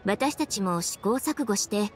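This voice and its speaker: A woman's voice: mid-range voice, expressive, measured pace, faint music behind, close to an everyday voice. An actress playing a thoughtful young woman official in an anime game, composed and articulate as she explains things. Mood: earnest. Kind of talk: anime video game voice acting.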